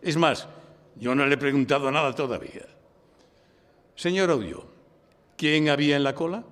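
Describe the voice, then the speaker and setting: A man's voice: a little high, normal speed, a bit bright, natural voice. An actor with an accent from Spain playing an oratorical male defence lawyer who argues and narrates his case in a courtroom radio drama. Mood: insistent, confident. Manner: oratorical, insistent, declamatory. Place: public library, before a live audience. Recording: reverberant hall, live stage recording.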